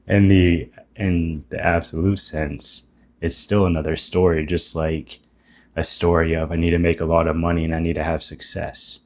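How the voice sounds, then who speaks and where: A man in his thirties with a very low, deep voice talks to his channel's viewers. He muses out loud about spiritual ideas, often starting a sentence over. His tone stays reflective and a little self-deprecating. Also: level tone, unhurried. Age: 30s. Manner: musing, self-deprecating, thinking aloud with restarts. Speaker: YouTuber.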